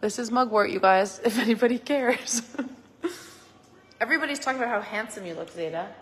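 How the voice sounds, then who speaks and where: A woman's voice: on the high side, normal speed, big-room sound, slight background noise. A woman hosting a family livestream, chatting casually in an upbeat way and relaying viewers' comments. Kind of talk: casual chat.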